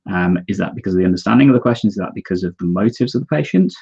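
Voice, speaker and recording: Gravelly deep man, lo-fi audio. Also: lively intonation, very fast, darkish tone. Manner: earnest, explanatory, opinionated. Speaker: co-host. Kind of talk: live discussion, reading viewer comments.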